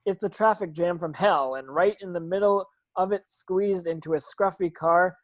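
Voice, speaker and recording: A woman's voice: fairly low pitch, normal speed, no room sound. A woman reading script stage directions as narration, with occasional stumbles over the words.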